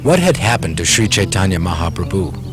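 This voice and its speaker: A man's voice: deep voice, big pitch swings, a little fast. A man narrating a scripted devotional tale for a puppet show as a storyteller. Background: loud music.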